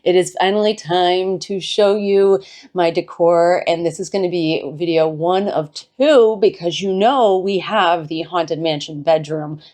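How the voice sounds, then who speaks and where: Woman host, slightly low, hoarse and recovering; bubbly, rambling home-tour chat. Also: unhurried, darkish tone. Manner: bubbly, rambling, self-deprecating. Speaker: host of a home decor channel. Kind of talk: casual chatty home tour.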